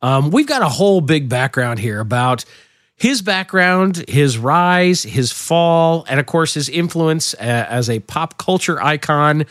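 Man, gravelly from a cold, talk show co-host, jokey rambling banter, lively pitch, dry close sound. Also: a little slow, no room sound. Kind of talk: casual banter.

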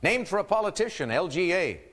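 A man's voice: high voice, sing-song, fairly quick, fairly bright tone, long reverb tail. A man hosting a quiz show, reading clues and teasing contestants with playful asides. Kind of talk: game show hosting with banter.

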